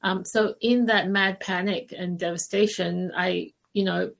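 A woman from Malaysia speaking as a podcast guest, telling stories casually with hesitant, conversational pauses. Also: mid-range voice, steady tone, creaky. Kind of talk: casual interview storytelling.

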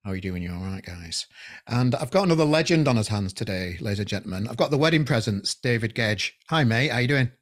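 A man in his forties hosting a podcast interview over a video call, chatty and halting, with many restarts, on a headset boom mic at home. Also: lively intonation. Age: 40s. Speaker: podcast host. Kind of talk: casual interview chat. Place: home room indoors.

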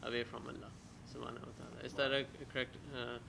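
A man's voice: medium pitch, completely flat delivery, quick pace, vocal fry, background noise. A man in the audience tentatively asking whether his thinking is right. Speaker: audience member asking a question. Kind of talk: asking a question.

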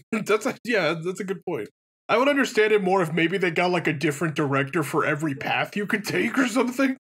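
A man with a husky voice co-hosting a let's play with casual, self-deprecating joking commentary. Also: sits high, expressive, a little fast, a bit bright.

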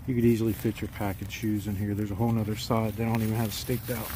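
Low, flat-voiced man reviewing outdoor gear. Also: fast talker. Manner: relaxed, plain-spoken, frequent "um"s. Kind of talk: casual product walkthrough. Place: outdoors in a backyard, inside and beside a tent. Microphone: phone camera, handheld and at arm's length. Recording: phone recording outdoors with background noise.

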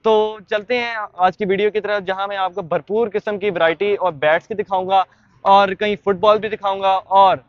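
A man's voice: high-pitched, steady tone, moderate pace, clean tone, dry recording, highs cut off. Young man guiding a market tour. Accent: Pakistani Urdu. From Pakistan. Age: young adult. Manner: chatty guide, frequent fillers and restarts. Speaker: vlogger. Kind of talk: walking market tour vlog. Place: busy street market and sports shop.

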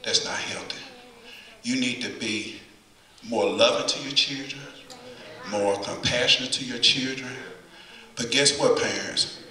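A man's voice: mid-range voice, a little slow, pauses often, bright, forceful tone. A middle-aged man preaching a conversational sermon from the pulpit, folksy and repeating phrases for emphasis. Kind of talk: conversational sermon with call and response. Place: church sanctuary at the pulpit. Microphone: far camera, pulpit mic. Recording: echoey church from far camera.

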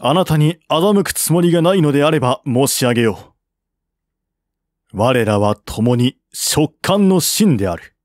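A man's voice: on the high side, expressive, measured pace, no echo, studio quality. A man voicing a stern, loyal military adviser in firm, formal historical audiobook dialogue.

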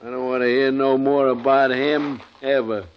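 An American man playing a stingy, callous buffalo hunter in an old-time radio western, gruff and dismissive with a rural Western drawl. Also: medium pitch, flat delivery, moderate pace, natural voice. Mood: cold, dismissive. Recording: muffled, noisy vintage radio recording.

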